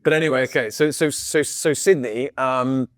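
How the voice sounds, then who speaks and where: A man's voice: mid-range voice, normal speed, no echo, clean studio sound. A middle-aged man hosting an interview, effusive and jokey, often repeating words as he starts a thought. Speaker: podcast host. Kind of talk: casual interview talk.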